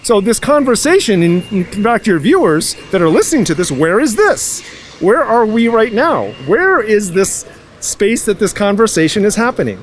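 A young man speaks into a handheld mic on a busy night street, posing rhetorical questions and musing about ideas. His voice sits high and swings widely in pitch. A faint hum of the street is heard behind him. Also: big pitch swings, normal speed. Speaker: passerby. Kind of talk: street interview. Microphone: handheld mic held by interviewer.

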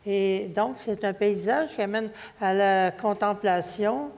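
Slow, mellow older Quebec voice, a woman. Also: mid-range voice, gravelly. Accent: Quebec French. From Quebec, Canada. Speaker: panelist, writer. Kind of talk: reflective unscripted panel talk. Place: large auditorium stage. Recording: reverberant hall.

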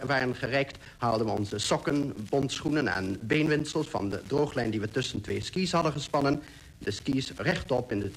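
A man's voice: mid-range voice, natural voice. A man playing a polar explorer who recounts his expedition in literary, descriptive radio-drama narration. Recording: faintly noisy old radio recording.

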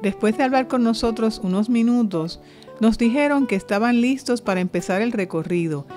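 A woman's voice: a little high, normal speed, a little mellow, soft background music. A woman reading scripted documentary narration.

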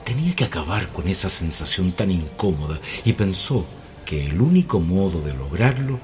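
An actor narrating a radio mystery drama as a suspenseful storyteller with deliberate pauses. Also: male voice, sits low, animated, darkish tone. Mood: grave. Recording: faint music behind the voice.

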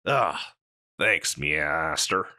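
Man playing a very deep-voiced slacker catboy dude. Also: lively intonation, bright, forceful tone, dry recording, clean studio sound, heavily acted. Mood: laid-back, teasing. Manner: slacker dude drawl, halting and mumbly. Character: scruffy, insecure older slacker male catboy pet. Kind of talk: comedic audio roleplay addressed to the listener.

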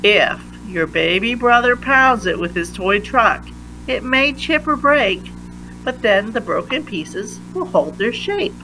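A woman reading a children's science picture book aloud with deliberate, emphatic phrasing for young listeners. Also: on the high side, expressive, bright, forceful tone, slight background noise, low-quality recording. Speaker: read-aloud reader.

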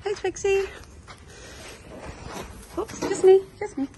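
A woman's voice: very high voice, fairly even intonation, takes it slowly, background noise. A woman filming her farm animals outdoors on her phone, chatting casually and playfully talking to the animals. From Arizona, US. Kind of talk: casual phone vlog narration.

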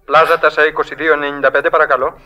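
A man's voice: a little high, little pitch movement, bright, forceful tone, lo-fi sound. An actor playing a businesslike male telegraph clerk in a radio drama, formal and mechanical in delivery.

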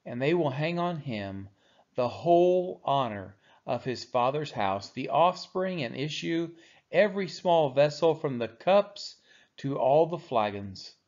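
A man reading scripture aloud solemnly and deliberately, phrase by phrase, in a slightly dull recording. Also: on the high side, very animated, a little mellow. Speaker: Bible reader.

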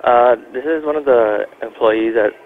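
A man's voice: mid-range voice, normal speed, a little hiss or hum. A man who works in a store, speaking hesitantly and unsure of himself on the phone. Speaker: store employee. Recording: tinny phone line.

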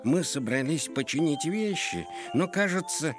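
A man voicing a big, slow, gloomy male animal in a children's cartoon, ponderous and mournful. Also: fairly high pitch, lively intonation. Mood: glum, resigned. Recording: music behind the voice.

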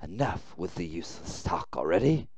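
A man voicing a gruff, threatening military boss in a visual novel, blunt and menacing. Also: deep voice, sing-song, moderate pace, darkish tone, no echo, exaggerated voice.

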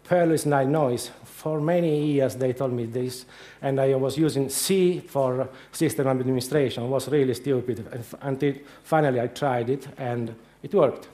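A man's voice: medium pitch, normal speed, few pauses, mellow. A middle-aged man with an Italian accent giving a humorous conference talk, telling anecdotes with frequent hesitations. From Italy. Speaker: conference speaker. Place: large dark lecture hall.

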